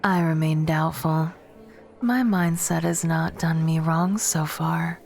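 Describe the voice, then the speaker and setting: Woman acting a low, whispery, haughty vampire warrior. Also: steady tone, slow pace, creaky, darkish tone, faint music behind, exaggerated voice. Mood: stern, stubborn. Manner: haughty, cutting, formal phrasing. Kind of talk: scripted ASMR audio roleplay.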